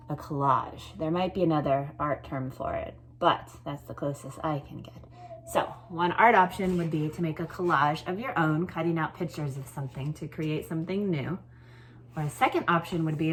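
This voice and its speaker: Low-pitched woman teacher. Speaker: teacher reading a picture book to pupils. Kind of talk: expressive storybook read-aloud, then informal assignment explanation.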